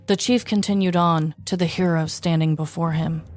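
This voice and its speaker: A woman's voice: on the low side, fairly even intonation, normal speed, darkish tone, soft background music. An American woman in her thirties narrating a scripted comedic story as a podcast host, with a wry, dramatic delivery. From United States. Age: 30s.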